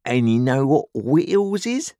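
A brutal, commanding convict acted out by a male audiobook reader growls threats in rough, rustic working-class speech. The pitch swings widely and rises above the reader's narration. The recording is close and dry. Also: very animated, a little slow, dark tone, no room sound. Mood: threatening. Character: menacing, ragged escaped convict man, brutal and commanding.

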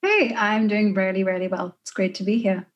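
Young south african woman guesting on a podcast, chatting casually, medium pitch. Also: steady tone, moderate pace. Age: early 20s. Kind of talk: casual interview conversation.

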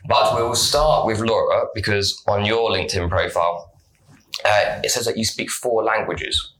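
A man's voice: lowish voice, moderate pace, a bit bright, reverberant, poor audio. Chatty male podcast host. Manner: chatty, jokey, laughs often. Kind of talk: casual podcast chat.

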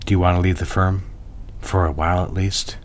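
A man playing a deep, controlling boss. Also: brisk, a little hiss or hum, natural voice. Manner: calm, commanding, smooth. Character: controlling married boss, man, middle-aged. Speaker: lawyer boss.

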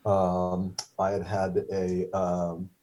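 A man's voice: low voice, monotone, extremely slow, mellow, a little hiss or hum. A middle-aged man whose first language is Hebrew, telling a story casually with many fillers, restarts and wry humor. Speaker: guest, stroke survivor.

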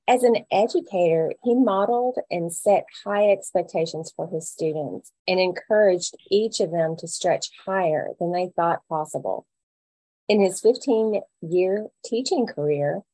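A woman co-hosting an education podcast, opening the show and reading out a guest's introduction in a measured way. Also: on the low side, dark tone, dry recording, poor audio. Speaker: podcast co-host, English education professor.

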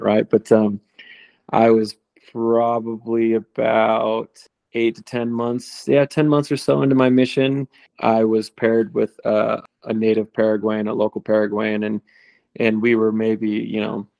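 Gravelly low-voiced man, flat delivery. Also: no echo. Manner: relaxed, rambling with fillers and restarts. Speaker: guest, returned missionary. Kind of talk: conversational interview storytelling.

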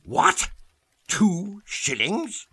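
A man's voice: sits high, wildly swooping pitch, very slow, pushed and bright, breathy edge, exaggerated voice. A man playing a reformed old miser in a radio play, giddy with joy and generosity, exclamatory and effusive. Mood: gleeful.

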